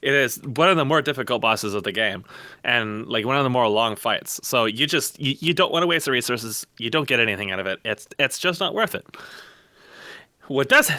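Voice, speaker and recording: A man's voice: medium pitch, pushed and bright, no room sound. A man in a casual, opinionated gaming podcast discussion, speaking bluntly and emphatically with plenty of profanity. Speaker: podcast co-host. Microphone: close mic on an online voice call.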